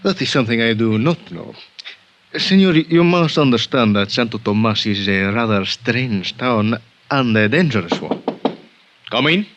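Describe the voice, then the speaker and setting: A man playing a polite but firm, suspicious Mexican police lieutenant with a Mexican accent in 1950s radio drama, formal and measured, on a dull vintage recording. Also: medium pitch, expressive, fairly bright tone, natural voice. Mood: guarded.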